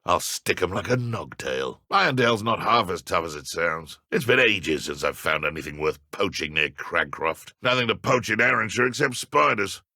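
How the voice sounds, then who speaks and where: A man with a British accent voicing a ruthless, sneering dark wizard in a video game, menacing and mocking. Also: low voice, lively intonation, normal speed, gravelly, hardly any pauses, dry recording, clean studio sound, full character voice. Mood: idle grumbling. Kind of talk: video game combat and idle voice lines.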